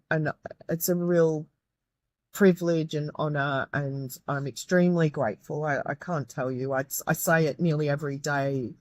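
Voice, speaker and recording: Croaky low-voiced woman. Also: a little mellow, dry recording. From Australia. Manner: earnest, grateful, with many restarts. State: croaky, tired voice. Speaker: guest, medium and tutor. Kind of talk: reflective interview talk.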